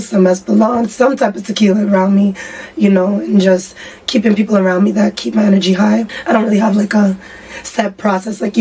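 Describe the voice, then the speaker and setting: A young woman musician in a casual interview, with a raspy voice, talking candidly in slang and lots of fillers. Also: mid-range voice, dark tone. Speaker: musician guest. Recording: distant mic with faint background noise.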